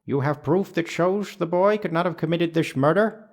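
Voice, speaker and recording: A man's voice: on the high side, moderate pace, lightly acted. A man playing a pompous, easily baffled old judge in a courtroom game, speaking formally and ponderously. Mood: pondering. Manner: formal, ponderous, puzzled questioning. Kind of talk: dubbed courtroom game acting.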